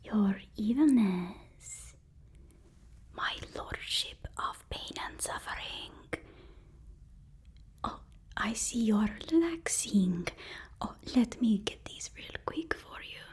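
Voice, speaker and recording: A woman playing a fawning, sinister assistant to an evil overlord in an ASMR roleplay, whispering breathily with slow, servile relish. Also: mid-range voice, animated, breathy edge, full character voice. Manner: obsequious, slow, drawn-out, gleefully servile.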